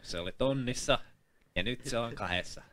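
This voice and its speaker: A man's voice: mid-range voice, fairly bright tone, close to an everyday voice. A man voicing a shrewd, bossy pawnbroker in a comic amateur dub, haggling in a blunt, teasing, exclamatory way.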